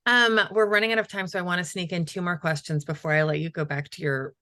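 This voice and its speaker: Woman interviewer, slightly low voice, dry close sound; fires off short stacked questions. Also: fairly even intonation, pushed and bright, no echo. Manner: asks questions in quick lists. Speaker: interviewer, host. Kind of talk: live interview hosting.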